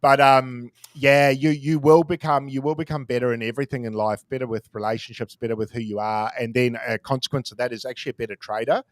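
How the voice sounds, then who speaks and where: A man's voice: medium pitch, fairly even intonation, fast, few pauses, dry recording. A man in his forties talking candidly and casually about trading, self-deprecating and swearing now and then. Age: 40s. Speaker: crypto trader guest. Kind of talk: casual podcast chat.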